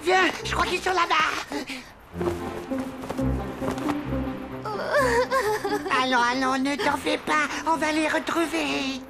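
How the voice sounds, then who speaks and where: An actress voicing a small, fussy magical companion in a children's cartoon. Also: female voice, high-pitched, steady tone, moderate pace, over music. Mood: reassuring.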